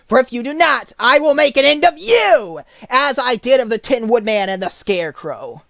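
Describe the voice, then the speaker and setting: A man's voice: very high-pitched, sing-song, normal speed, vocal fry, fairly bright tone, no room sound, voice pushed up, full character voice. A man voicing a cruel wicked witch from a storybook, harsh, severe and menacing, drawing out the threats. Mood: harsh, threatening.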